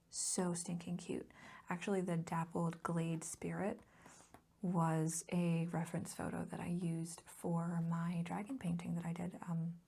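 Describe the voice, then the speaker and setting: Soft, breathy woman whispering ASMR with creaky edges. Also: on the low side. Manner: casual, rambling, gently enthusiastic. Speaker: ASMR video host. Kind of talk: soft-spoken ASMR chat.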